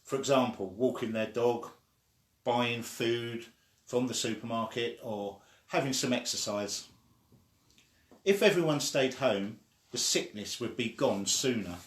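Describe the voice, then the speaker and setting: A man reading a children's story aloud in an expressive way, sometimes stumbling and starting again. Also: mid-range voice, measured pace. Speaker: story reader. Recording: echoey room.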